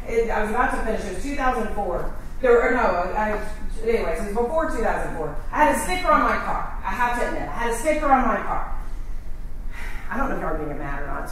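A woman's voice: a little high, slight background noise. Folksy woman giving an echoey keynote. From Texas. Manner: folksy, self-deprecating, chatty with the audience. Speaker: keynote speaker, city manager. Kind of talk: storytelling keynote speech. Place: hotel conference ballroom. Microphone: handheld mic, far camera. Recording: echoey room from far off.

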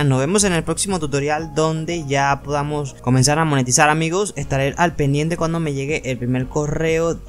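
Higher-voiced Venezuelan man over music. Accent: Venezuelan Spanish. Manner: addresses viewers as friends, repetitive explanatory phrasing. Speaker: tutorial channel host. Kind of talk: informal screen-recorded tutorial narration. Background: background music.

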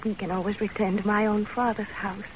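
An actress in 1930s radio drama style playing a gentle, dutiful young Japanese wife with earnest, formal phrasing. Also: female voice, mid-range voice, little pitch movement, fairly quick, close to an everyday voice. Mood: tender, resolute. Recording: dull, noisy 1930s radio recording.

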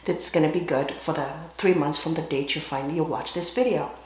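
A woman giving a tarot card reading while sick and losing her voice, pausing to clear her throat and cough. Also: deep voice, darkish tone. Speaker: tarot reader. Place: indoors at a table with candles and crystals. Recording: very echoey room.

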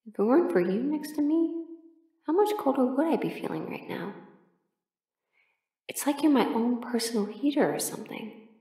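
A woman acting a sleepy, affectionate partner in an intimate audio roleplay, muttering softly with whispers and sighs. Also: sits high, sing-song, moderate pace, pauses often, roomy sound, recorded from a distance. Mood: tender, hesitant. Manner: soft, drowsy, muttering to herself with whispers and sighs. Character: sleepy affectionate partner awake in cold bed, playfully grumbling.

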